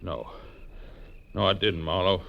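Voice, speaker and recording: An actor playing a rough, grieving man bent on revenge, with intense, brooding delivery in a 1940s radio drama. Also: deep voice, a little slow, muffled recording. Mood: grim, vengeful. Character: rough, grieving, vengeful male friend of murdered man.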